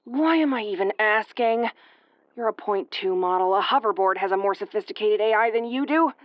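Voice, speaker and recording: Sci-fi audio drama: woman as injured female first officer, sarcastic and weary, mid-pitched, dry sound. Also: normal speed, no room sound, highs cut off, natural voice. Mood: exasperated. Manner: exasperated, swearing, wry tomboyish delivery. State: woozy and battered. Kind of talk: audio roleplay acting, recorded log monologue.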